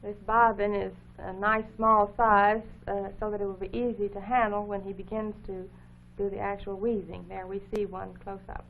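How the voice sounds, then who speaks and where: A woman giving careful, halting, formal explanatory commentary as co-host of an educational program, on a 1950s broadcast recording. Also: mid-range voice, level tone, gravelly. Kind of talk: unscripted explanatory commentary over film footage. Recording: phone-like 1950s broadcast with faint hum.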